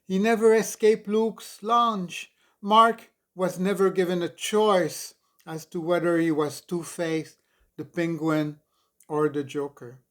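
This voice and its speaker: A man in his sixties from Montreal reading his memoir aloud in an expressive, deliberate, dramatic narrating style. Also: high voice. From Montreal, Canada. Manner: expressive, deliberate, emphatic storytelling. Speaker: author reading own memoir.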